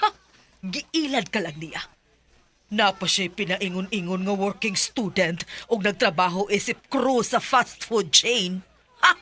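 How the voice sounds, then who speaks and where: A woman's voice: mid-range voice, animated, measured pace, pushed and bright. A woman playing a scornful domineering mother. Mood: contemptuous. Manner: scornful, scolding. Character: domineering disapproving mother, middle-aged woman. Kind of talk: radio drama acting.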